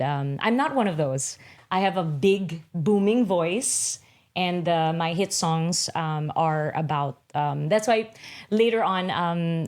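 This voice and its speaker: A woman's voice: sits low, a little mellow. A middle-aged woman from the Philippines, a singer and actress, chatting as a guest in an interview with many fillers and restarts. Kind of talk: casual interview conversation.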